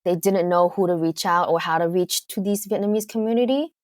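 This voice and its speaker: Lowish-voiced woman with a Canadian accent. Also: brisk, darkish tone, no room sound, clean studio sound. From United Kingdom. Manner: thoughtful, hesitant, frequent fillers. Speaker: guest. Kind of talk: casual interview conversation.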